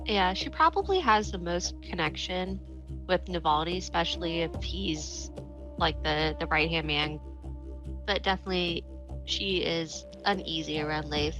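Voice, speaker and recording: Female tabletop player, slightly low voice, casual in-game discussion, light music underneath. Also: unhurried.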